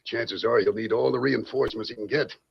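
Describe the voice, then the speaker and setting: An American actor playing a swaggering, scheming outlaw gang boss with a folksy cowboy drawl in an old radio western. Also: male voice, medium pitch, fairly even intonation, a little fast, vocal fry, breathy edge. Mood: falsely friendly. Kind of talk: 1940s radio western acting. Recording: muffled old radio recording.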